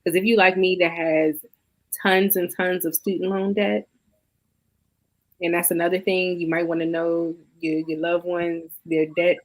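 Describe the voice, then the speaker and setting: A woman with a somewhat low voice joins a laid-back panel chat over a video call. She speaks emphatically and tells her points in an animated, persuasive way. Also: low-quality recording. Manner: emphatic, preachy, animated storytelling. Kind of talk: casual panel conversation.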